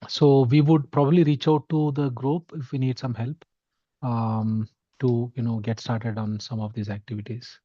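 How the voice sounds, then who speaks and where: A man's voice: medium pitch, little pitch movement, moderate pace, mellow, dry recording. A man introducing himself on an online working-group call, speaking hesitantly with many fillers. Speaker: engineer joining a working group. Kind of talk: casual meeting talk. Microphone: video call. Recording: dull lo-fi call audio.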